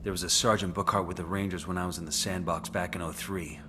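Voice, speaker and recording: An actor playing a stoic American special forces veteran in a video game cutscene, terse and matter-of-fact. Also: male voice, sits low, steady tone, fast, noisy background, close to an everyday voice. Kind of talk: cinematic game cutscene acting.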